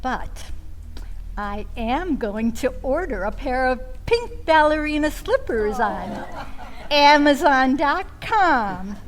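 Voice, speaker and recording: A woman with a high voice performs a comic first-person story. She delivers it slowly, in the manner of a stage storyteller. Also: very animated, some chatter behind. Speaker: storytelling contestant.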